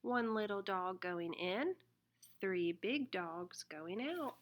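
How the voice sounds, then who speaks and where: A woman reading a children's picture book aloud in an expressive, deliberate way. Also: medium pitch. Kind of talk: read-aloud children's storybook narration.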